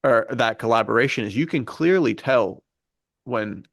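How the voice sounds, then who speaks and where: A man's voice: mid-range voice, lively intonation, normal speed, gravelly, fairly bright tone, dry recording, clean studio sound. A man co-hosting a podcast in casual chat, speaking in an earnest, informal, enthusiastic way. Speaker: podcast co-host and fiction writer.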